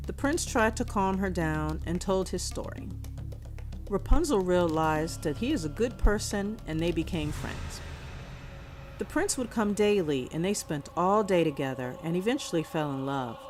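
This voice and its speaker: A woman reading a scripted children's fairy tale as a measured storyteller. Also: fairly low pitch. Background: music.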